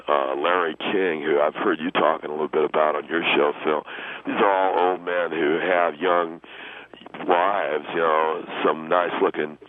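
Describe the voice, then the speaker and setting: Low-pitched, indignant young guy played by a man phoning a radio comedy show; halting, crude, self-righteous, on a thin, hissy line. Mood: halting disapproval. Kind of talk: improvised radio comedy call-in. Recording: phone-like radio line with hiss.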